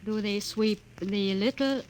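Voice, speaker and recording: A woman's voice: medium pitch, measured pace, darkish tone, close to an everyday voice. Woman doing a nervous underground wife, careful what she says, old radio sound. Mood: guarded. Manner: guarded, hesitant. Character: wary young wife in the underground resistance. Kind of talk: 1950s radio drama acting. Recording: old radio recording with hiss.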